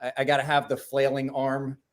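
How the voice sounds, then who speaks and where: A man's voice: mid-range voice, completely flat delivery, a little fast. A man talking casually as a livestream guest, rambling with many restarts and swearing.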